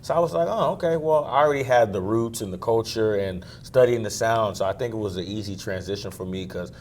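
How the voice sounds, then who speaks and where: Low-voiced young man, relaxed and laughing. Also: brisk, a little hiss or hum. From Maryland, USA. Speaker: guest singer. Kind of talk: casual interview talk.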